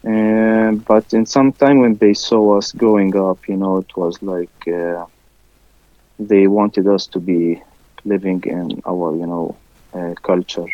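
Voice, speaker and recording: A man whose first language is Arabic, a musician interviewed in English, who talks haltingly with many fillers and restarts. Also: deep voice, flat delivery, no echo, dull audio. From village near Haifa, raised there from first grade. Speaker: musician guest.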